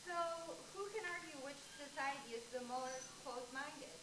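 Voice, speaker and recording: High-voiced, curious presenter played by a woman. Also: normal speed, lightly acted. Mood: inquisitive. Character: curious female presenter prompting and summing up. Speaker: actor in a staged reading. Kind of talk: staged play reading. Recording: echoey room with clear noise.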